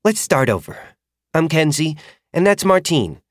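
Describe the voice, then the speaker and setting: A man's voice: on the high side, no room sound, studio quality, pitched above the performer's own voice. A man voicing a young female dragon rider who is flustered but kind, talking anxiously and apologetically in an audiobook. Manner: anxious, apologetic, quickly talking herself calm. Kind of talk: audiobook character voice.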